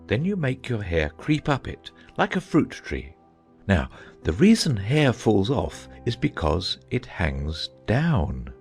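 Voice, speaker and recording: A man playing an eccentric, earnest, bumbling old knight in a dramatized audiobook, with a halting, fussy delivery. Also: fairly low pitch, huge pitch swings, a little mellow, faint music behind, full character voice. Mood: earnest, proud. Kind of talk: dramatized audiobook character acting.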